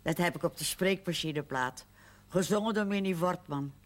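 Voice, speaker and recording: An actress playing a feisty, witty very old aunt in a television period drama, teasing and cheeky. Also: female voice, fairly low pitch, moderate pace, natural voice. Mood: curious, wistful. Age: older.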